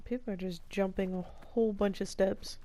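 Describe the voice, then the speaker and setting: A woman doing casual live gameplay commentary, reacting in an expressive, playful way. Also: mid-range voice, moderate pace, slight background noise. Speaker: streamer playing and reacting to a game.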